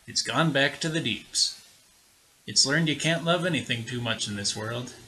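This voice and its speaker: Man voicing a grave, sharp-edged lighthouse keeper. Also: mid-range voice, normal speed, lightly acted, low-quality recording. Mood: wistful. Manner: grave, ruminative. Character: grave lighthouse keeper, wistful and philosophical old hand. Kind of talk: dramatic audio story reading.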